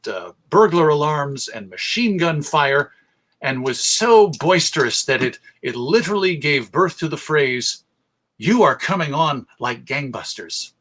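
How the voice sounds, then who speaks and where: A man's voice: on the high side, bright, forceful tone, slightly rough. A man presenting an informal history talk as host, enthusiastic and emphatic as he lists examples. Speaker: presenter, radio show host.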